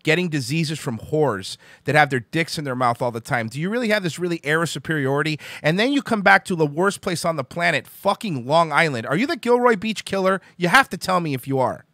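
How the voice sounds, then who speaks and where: Cast a male radio host from Cuba, Spanish first language, brash and mocking. High, lively voice that keeps interrupting. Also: fairly quick, hardly any pauses, no echo. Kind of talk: insult-heavy provocative interview.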